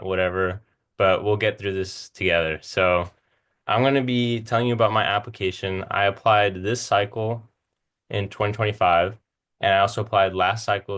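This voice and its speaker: A man's voice: lowish voice, fairly bright tone, smooth. A man in his twenties with a Californian American accent, giving an informal, self-deprecating slide presentation as a student speaker. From Southern California, United States. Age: 20s. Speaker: student speaker, medical school applicant.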